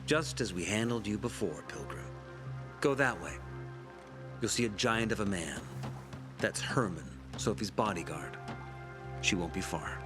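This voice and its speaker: An actor playing a shrewd, pompous leader of a survivor community in a video game, speaking in a deliberate, preacherly way. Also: male voice, lowish voice, expressive. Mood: calm menace. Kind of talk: dramatic video game acting. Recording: background music under the voice.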